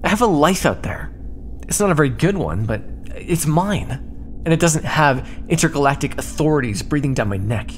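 A sarcastic, prickly starship crewman, voiced by a man in an ASMR roleplay. His pitch swings widely as he snaps and grumbles with exasperation. A faint ambient hum sits behind him. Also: animated, lightly acted. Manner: sarcastic, exasperated, quick-tempered.

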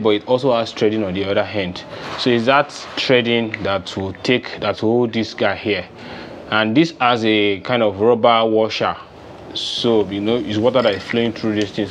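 A man's voice: on the low side, a little hiss or hum. A young man with a Nigerian accent, giving an informal, unscripted hands-on demonstration step by step. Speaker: DIY farming instructor. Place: open-sided poultry house with concrete floor. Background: music.

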